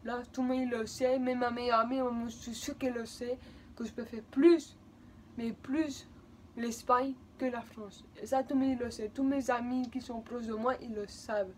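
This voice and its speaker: A woman's voice: a little high, steady tone, normal speed, a little mellow, slight background noise. A woman in her twenties from Spain, speaking French with a Spanish accent, a native Spanish speaker telling a rambling personal story in a vlog. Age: 20s. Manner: rambling, repetitive, emotional. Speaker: vlogger.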